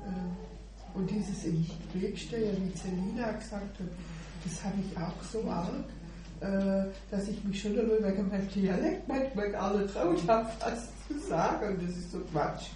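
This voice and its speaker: A woman's voice: medium pitch, a little slow, warm tone. A woman sharing at a church meeting, hesitant, with many fillers. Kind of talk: informal devotional sharing. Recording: echoey room mic from far off with background noise.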